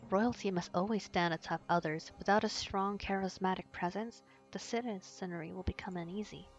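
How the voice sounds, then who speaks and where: A woman's voice: on the low side, poor audio. A woman reading a visual novel aloud and chatting casually as she plays.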